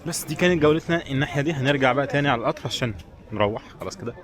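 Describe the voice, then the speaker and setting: Very fast-talking Egyptian man, busy crowd behind. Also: medium pitch. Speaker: travel vlogger. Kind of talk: casual vlog chat to viewers. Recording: busy crowd noise around the voice.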